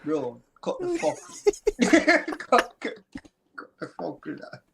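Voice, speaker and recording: A young Jamaican man chats about football in easy patois, joking along and chiming in often. His voice sits on the higher side, with clear noise hissing under the recording. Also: wildly swooping pitch. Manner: chatty, joking, quick to chime in. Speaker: podcast co-host. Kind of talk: casual football chat. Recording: noisy background hiss.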